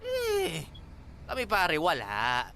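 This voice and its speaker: An actor playing a menacing, mocking drunken thug who bullies and taunts in a radio drama. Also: male voice, sits high, wildly swooping pitch, slow pace, vocal fry, sharp tone, faint music behind, exaggerated voice. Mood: taunting. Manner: taunting, swaggering, punctuated with mocking laughter.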